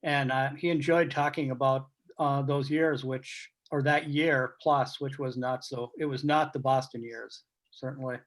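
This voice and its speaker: A man speaking as a guest sportswriter in an informal panel discussion over a video call, explaining things in a measured way. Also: mid-range voice, fairly even intonation. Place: virtual meeting on video call.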